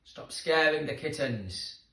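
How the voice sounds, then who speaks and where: Man reading as Jenny, a high-voiced little girl. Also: lively intonation, slow pace, gravelly, pushed and bright, heavily acted. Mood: cross. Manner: coaxing, calling out. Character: Jenny, a kind, encouraging little girl kitten owner. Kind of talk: children's storybook reading. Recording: roomy echo, distant microphone.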